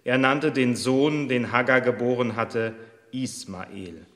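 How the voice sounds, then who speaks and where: A male pastor prays and reads scripture in a measured, solemn voice. His delivery is slow and deliberate, and he sits at a middle pitch. His voice carries a long reverb from a large church space. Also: steady tone, a bit bright. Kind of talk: church service prayer and scripture reading.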